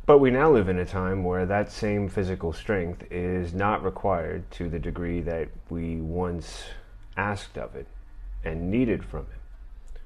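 Middle-aged male podcast host, very low deep voice, thoughtful pacing. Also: darkish tone. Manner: measured, thoughtful delivery with occasional fillers. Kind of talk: reading and commenting on an argument.

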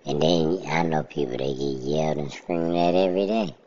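A man talking casually in a steady, plainspoken monologue, describing his own even, monotone way of speaking. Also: very deep voice, moderate pace. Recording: dull lo-fi recording.

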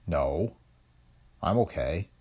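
A man's voice: very deep voice, expressive, extremely slow, a little mellow, husky, exaggerated voice. A man doing a surly young defendant. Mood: defiant. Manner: curt, defiant. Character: surly young male defendant, curt and defiant.

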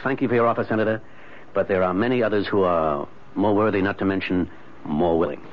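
An American man acting in a 1970s radio drama as a weary, evasive middle-aged businessman with a halting way of repeating himself. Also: deep voice, lightly acted. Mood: weary, resolved. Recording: muffled, noisy old radio recording.

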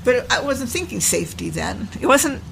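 An older woman telling her stepdaughter about her past in a casual interview, unhurried and reflective, often trailing off while she searches for words. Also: a little high, very animated, gravelly, fairly bright tone, low-quality recording. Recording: noticeable background noise.

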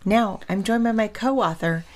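A woman's voice: mid-range voice, unhurried, darkish tone. A woman hosting and narrating a podcast for children in an encouraging, presenterly manner. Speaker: podcast host and storyteller.

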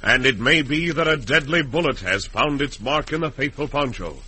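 A man's voice: medium pitch, normal speed, loud music behind the voice, rough recording. An American man narrating a western serial as announcer, in dramatic old-time radio style.

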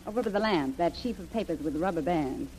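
An actress playing a spirited, teasing adventurous companion in an old-time radio serial. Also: female voice, fairly low pitch, expressive, quick pace, darkish tone, breathy edge, low-quality recording. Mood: eager, helpful. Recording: hissy, sizzling vintage radio recording.

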